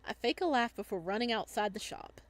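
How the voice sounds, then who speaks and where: High-voiced woman reading a visual novel. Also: lively intonation, brisk. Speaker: let's player reading a visual novel aloud. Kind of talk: casual game commentary mixed with dramatic narration.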